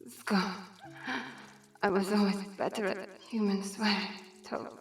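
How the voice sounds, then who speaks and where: An actress playing a gentle, weakened, dying space researcher in a sci-fi audio drama, faltering and tender. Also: female voice, medium pitch, level tone, unhurried, close to an everyday voice. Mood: tender, fading. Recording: distant echoey cave, music behind.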